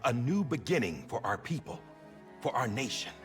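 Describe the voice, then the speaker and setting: An actor playing a solemn, idealistic government official in a video game, talking in a measured, speechifying way. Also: male voice, mid-range voice, sing-song, over music, close to an everyday voice. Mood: stately. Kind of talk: video game cutscene acting.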